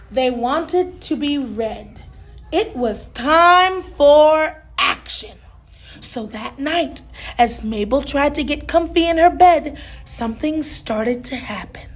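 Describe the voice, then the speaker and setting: A woman reading a children's story aloud with dramatic, drawn-out emphasis. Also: high voice. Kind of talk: animated storybook read-aloud.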